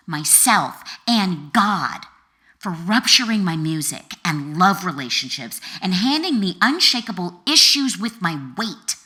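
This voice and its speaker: A woman author reading her own memoir as an expressive audiobook narrator, with a dramatic, deliberate delivery and emphatic pauses. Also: fairly low pitch.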